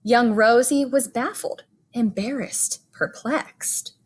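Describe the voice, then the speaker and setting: A woman reading a children's picture book aloud as a bedtime story, phrasing it expressively with deliberate pauses and emphasis. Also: on the high side, breathy edge.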